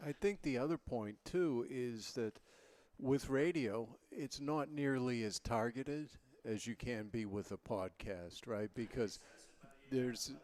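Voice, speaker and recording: Male podcast sidekick, mid-range voice, conversational and agreeable, a little halting. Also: mid-range voice, a little mellow. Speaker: podcast co-host. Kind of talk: casual conversational commentary.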